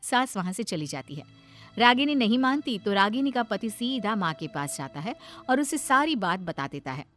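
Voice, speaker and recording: A woman's voice: mid-range voice, sing-song, normal speed, fairly bright tone. A woman reading scripted narration for a family cartoon story as its storyteller. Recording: music behind the voice.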